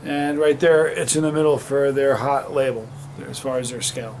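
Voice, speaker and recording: A man's voice: mid-range voice, flat delivery, moderate pace, noisy background. A man from Vermont reviewing a hot sauce in a casual vlog, chatty and rambling, with many restarts and fillers. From Vermont, USA.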